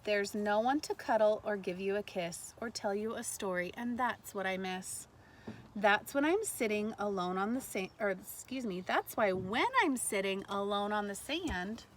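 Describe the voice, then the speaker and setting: A woman's voice: mid-range voice, normal speed, slight background noise. A woman teacher leading a read-aloud lesson for young children, explaining things simply and prompting her listeners. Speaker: elementary school teacher.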